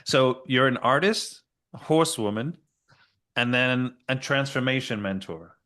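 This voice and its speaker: A man hosting an interview podcast, talking in a halting, conversational way. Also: mid-range voice, a bit bright. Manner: halting, with fillers and restarts. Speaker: podcast host. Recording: faint guitar music behind.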